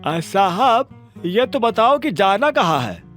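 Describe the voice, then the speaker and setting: A man's voice: high voice, sing-song, slow, fairly bright tone, faint music behind, voice pushed up, heavily acted. A man voicing an eager, puzzled cab driver in an audio drama, exclaiming and deferential. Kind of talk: Hindi audio drama acting.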